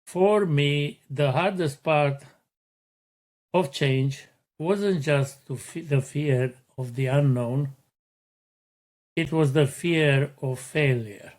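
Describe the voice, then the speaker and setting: A middle-aged man hosts his own motivational podcast, talking slowly and deliberately. His voice sits a little high for a man, and he lays out each point with earnest, unhurried care. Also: a little mellow. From Romania. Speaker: podcast host.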